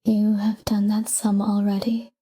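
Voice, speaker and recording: A woman softly guiding a sleep meditation in a calm, whispery, breathy voice, speaking in short, unhurried phrases with pauses. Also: medium pitch. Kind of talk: soft guided sleep meditation, whispered talk-down.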